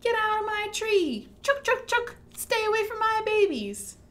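A woman's voice: very high-pitched, big pitch swings, unhurried, fairly bright tone, voice pushed up, heavily acted. Woman putting on a fierce chittering mama squirrel. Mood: fierce scolding. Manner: chittering, scolding. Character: fierce protective mother squirrel, tiny. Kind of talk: children's picture-book read-aloud.

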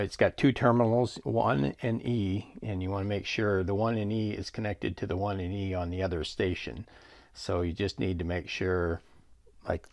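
A man's voice: sits low, gravelly, dry recording, clean studio sound. A man giving a casual, explanatory product demonstration in a plain-spoken, matter-of-fact way.

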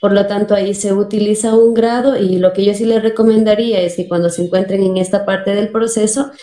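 A young woman from Ecuador answers as an interview guest, with an Ecuadorian accent. Her voice is mellow and soft-edged at a medium pitch. The video-call sound is roomy and echoing. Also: fairly even intonation, a little fast.

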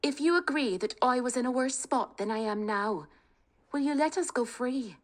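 A woman voicing a clever, bold young peasant woman, talking in a lively, persuasive way. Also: high-pitched, normal speed, pitched above the performer's own voice, natural voice. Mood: coaxing.

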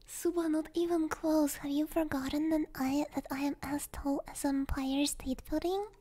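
A young woman hosting an ASMR stream, chatting playfully in a soft, breathy, girlish voice that often slips into a whisper, close on a binaural microphone. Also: sits high, fairly even intonation, moderate pace. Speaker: ASMR livestream host. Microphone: binaural ear microphone.

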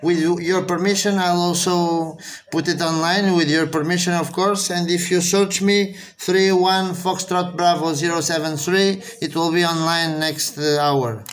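Slow, high-pitched Portuguese man on radio. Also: flat delivery, slight background noise. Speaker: amateur radio operator. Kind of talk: radio contact exchange with phonetic spelling.

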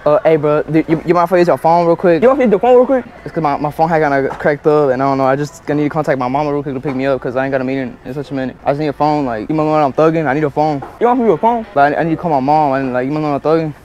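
A man's voice: a little high, racing, hardly any pauses, a little mellow, dull audio, a little hiss or hum. A young man filming a prank vlog in a large store, joking and pleading with strangers for a phone. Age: young adult. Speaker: prank vlogger. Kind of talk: prank vlog, casual banter. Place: big retail store, indoors. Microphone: handheld action camera.

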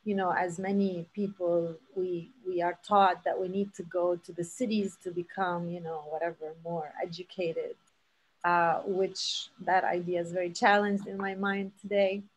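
A woman's voice: lowish voice, flat delivery, normal speed, breathy edge, rough recording. A woman in her thirties from Palestine, giving an earnest storytelling talk over a video call from her home, full of fillers and restarts. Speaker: seed saver giving a talk. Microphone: laptop webcam mic on a video call.

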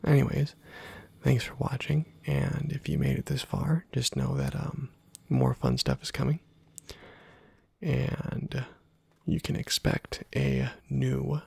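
A man giving soft-spoken ASMR commentary over a video game, husky and often near a whisper, in a rambling, self-deprecating way. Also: mid-range voice. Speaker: host of a gameplay video channel.

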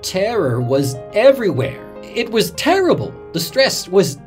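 A man's voice: high voice, sing-song, measured pace, soft background music. A man playing an earnest radio reporter, dramatically recalling a disaster he witnessed, in a first-person story. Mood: distressed. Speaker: narrator of a radio reporter's memories.

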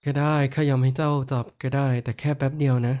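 A kindly horned giant lord, voiced by a man in a roleplay audio drama, talking straight to the listener. His voice sits in an ordinary middle pitch, mellow and warm, never loud. He soothes gently and slips into light, playful teasing. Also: level tone, quick pace, natural voice. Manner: soft-spoken, comforting, playfully teasing.

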